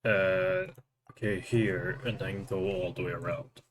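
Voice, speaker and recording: Slow, offhand male game streamer. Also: low voice, big pitch swings, fairly bright tone, a little hiss or hum. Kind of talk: casual live game commentary.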